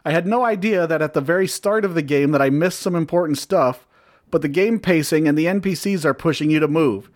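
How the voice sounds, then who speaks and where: A man with a slightly high-set voice reads a review aloud on a casual podcast. He keeps a sardonic, deadpan tone, swears freely, and cuts in with his own asides. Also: fairly quick. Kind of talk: casual banter, reading aloud.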